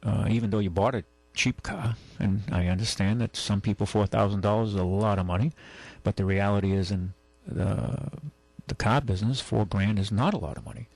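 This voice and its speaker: Gravelly, low-voiced man hosting radio. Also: a little mellow. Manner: halting, rambling, conversational explanations. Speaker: radio call-in show host, car dealer. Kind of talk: casual advice on a call-in radio show.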